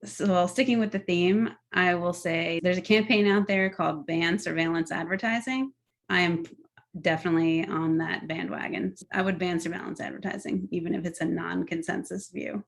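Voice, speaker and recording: A woman's voice: on the low side, fairly even intonation, normal speed, creaky. A middle-aged woman answering podcast interview questions in an articulate, earnest, enthusiastic way. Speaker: guest, tech policy executive.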